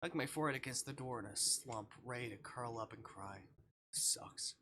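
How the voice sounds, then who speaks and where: A man's voice: medium pitch, normal speed, noisy background. A man livestreaming a visual novel, reading it aloud theatrically and reacting as he goes. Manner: theatrical, swings from hushed narration to shouting.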